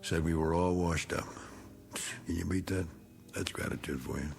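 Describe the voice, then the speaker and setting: An actor playing a self-pitying, bigoted middle-aged war veteran who drinks, rambling in folksy slang in a 1960s television drama. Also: male voice, deep voice, moderate pace, creaky. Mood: defensive, bitter.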